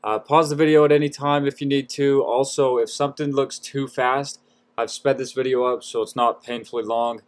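A man's voice: medium pitch, steady tone, normal speed. A man giving a casual, folksy how-to voice-over in an informal, self-deprecating way. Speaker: DIY tutorial host.

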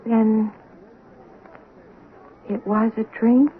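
A woman's voice: a little high, flat delivery, extremely slow. An actress playing an obsessed, solemnly sincere woman fan insisting on a murder confession in a 1970s radio drama. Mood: dazed. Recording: lo-fi noisy vintage radio broadcast.

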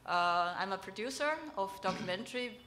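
A woman's voice: mid-range voice, fairly quick, pushed and bright, slight background noise. A woman from China moderating a film festival panel on stage, hesitant, with many restarts and fillers. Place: event hall with a panel on stage.

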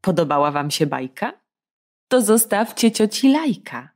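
A woman narrating a children's audiobook in a playful, expressive way. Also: mid-range voice, measured pace, faint music behind. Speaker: storyteller.

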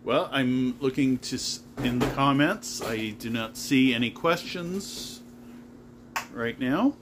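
A man's voice: mid-range voice, slow pace. A man off camera in a home kitchen, chiming in with brief, dry remarks and reading out viewer comments. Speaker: helper off camera. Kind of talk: casual chat. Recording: distant from mic with background noise.

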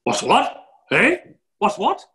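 A woman's voice: mid-range voice, huge pitch swings, slow pace, a bit bright, exaggerated voice. A woman playing a bumbling, absent-minded duke in a play reading, rambling and repeating words. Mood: startled.

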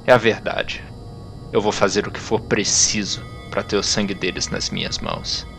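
A blunt resistance fighter. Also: male voice, fairly low pitch, moderate pace, vocal fry, music in the background. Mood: resolute. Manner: blunt, swearing. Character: tense resistance fighter man.

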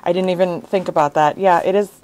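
A woman's voice: on the low side, steady tone, quick pace, a crowd in the background. Chatty woman hosting a sewing live stream. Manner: chatty, laughs often, jumps between topics. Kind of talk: casual live stream chat. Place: indoor sewing shop studio with bags on shelves.

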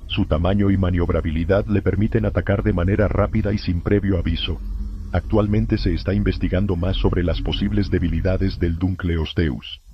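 A man playing a grave emergency broadcast announcer, narrating scripted horror warnings in a solemn, formal, measured way, through muffled lo-fi sound. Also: deep voice, fairly even intonation, a little hiss or hum, lightly acted.

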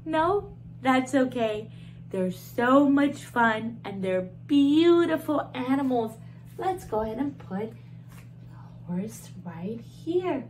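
A woman in her thirties teaching toddlers in a video, talking to the children in an animated, exaggerated way with gasps and questions, filmed at close range in a small room. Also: high voice, takes it slowly, a little hiss or hum.

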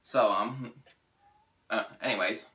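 A man's voice: lowish voice, slow pace, very noisy. A young American man casually hosting a how-to tutorial, talking informally as he demonstrates. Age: young adult. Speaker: weather radio hobbyist, video host.

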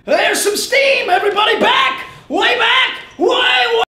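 A man playing an excitable sports-style commentator, calling play-by-play. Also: extremely high pitch, a little slow, raised voice, voice pushed up, exaggerated voice. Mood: alarmed. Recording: roomy echo.

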